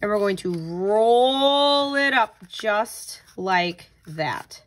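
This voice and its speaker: A woman's voice: medium pitch, lively intonation, very slow, slight background noise. A woman tutor reading aloud and giving simple step-by-step instruction for young children. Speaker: tutor reading a picture book and leading a craft.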